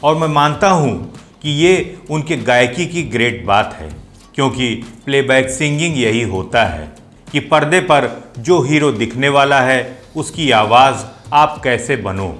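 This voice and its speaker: Male host, mid-pitched, eager explainer with admiring asides, soft music under him. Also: expressive, a little slow. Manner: enthusiastic, admiring, rhetorical asides. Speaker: host explaining playback singing. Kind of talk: explanatory talk to viewers.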